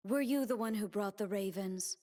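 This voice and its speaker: A woman's voice: medium pitch, normal speed, mellow, close to an everyday voice. A woman playing a proud, stern young warrior who defends her homeland, with a solemn, defiant, cutting delivery for a video game. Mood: stern, scornful. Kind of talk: video game character voice lines.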